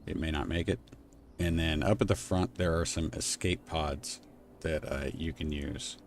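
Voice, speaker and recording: Man, very low voice, gravelly, relaxed game streamer, faint hiss behind. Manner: unhurried, musing aloud, answers chat. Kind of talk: casual live-stream gameplay chat.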